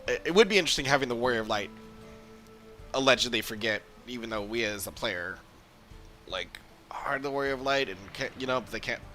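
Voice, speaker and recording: Bright-voiced man rambling over game music. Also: medium pitch, animated, brisk. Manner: musing, rambling, thinking aloud. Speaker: game streamer. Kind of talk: casual livestream commentary while playing a game.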